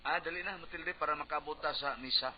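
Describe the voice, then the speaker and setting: A man's voice: fairly high pitch, quick pace, lightly acted. A man playing a stubborn, devout, overconfident husband in a Cebuano radio drama, protesting in a whiny way with a Cebuano Filipino accent. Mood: defensive. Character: stubborn, devout husband running for vice mayor. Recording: muffled, noisy radio broadcast.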